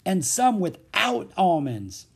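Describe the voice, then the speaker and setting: A man voicing a big, good-humored truck driver in a storybook, with a slow, drawn-out delivery. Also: on the high side, lively intonation, creaky, mellow, husky, heavily acted. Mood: gleeful, laughing.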